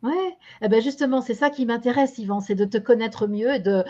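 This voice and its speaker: A woman's voice: on the high side, brisk, mellow. An older woman hosting a daily online show, welcoming and questioning her guest over a video call, with searching phrasing and fillers. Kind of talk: interview hosting. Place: home room. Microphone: video call webcam. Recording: dull lo-fi webcam audio.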